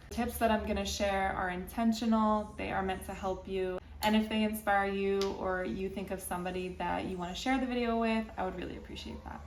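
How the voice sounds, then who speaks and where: A woman from California shares her thoughts in an earnest, reflective vlog, with a gentle, encouraging way of speaking. Her voice sits in a roomy space, picked up from a little distance, with a faint hiss underneath. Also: mid-range voice. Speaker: vlogger. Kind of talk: casual personal vlog.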